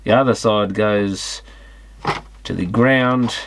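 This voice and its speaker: A man with a fairly low voice talks casually through an electronics teardown. He muses aloud in an unscripted way, working things out as he goes. There is some faint noise behind him. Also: takes it slowly. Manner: musing, thinking aloud. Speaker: electronics repair hobbyist presenting a teardown.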